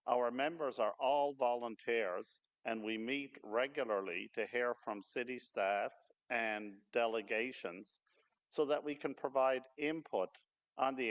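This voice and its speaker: A man gives a formal address at a podium microphone in a large city hall space. He has a loud voice and often hesitates on fillers like "uh.". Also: mid-range voice, dull audio. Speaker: advisory committee member. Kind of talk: formal public speech.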